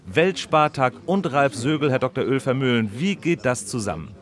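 Man acting an earnest, mid-pitched radio reporter. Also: animated, moderate pace, lightly acted. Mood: earnest, polite. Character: earnest, polite male local radio reporter. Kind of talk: mock live radio reporting.

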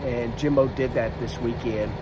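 Man, fifties, hoarse lost voice, excitable, phone vlog, noisy background. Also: mid-range voice, moderate pace. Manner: excitable, laughing, lets out loud woos. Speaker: drag racing driver and vlogger. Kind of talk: casual vlog to camera. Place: inside a trailer or motorhome. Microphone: phone camera at arm's length.

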